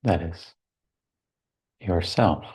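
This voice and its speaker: A man leading a guided meditation as a teacher, speaking contemplatively in short phrases with long pauses between them. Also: sits low, a little mellow, slightly rough.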